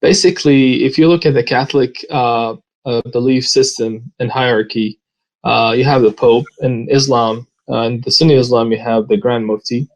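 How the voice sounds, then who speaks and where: A man's voice: mid-range voice, fairly even intonation, moderate pace, rough recording. Interview guest, man, hesitant and filler-heavy, ordinary middle-range voice. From Saudi Arabia. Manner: frequent hesitant fillers, soft laughs. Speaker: guest, immigrant ministry worker. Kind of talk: personal testimony in interview.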